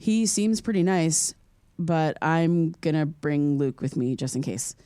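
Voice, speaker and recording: An actress playing an earnest, curious young American podcaster in an audio drama, speaking in a conversational, slightly hesitant way. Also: female voice, deep voice, lively intonation, unhurried, dark tone, clean tone, dry recording. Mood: casual, candid. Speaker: podcast host character.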